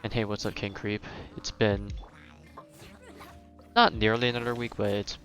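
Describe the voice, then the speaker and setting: A man's voice: on the low side, little pitch movement, normal speed, a bit bright. A young man chatting casually and thinking aloud as he commentates on a game he is streaming live. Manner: rambling, conversational, thinking aloud. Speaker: game livestreamer. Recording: slightly dull mic over game music. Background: game music.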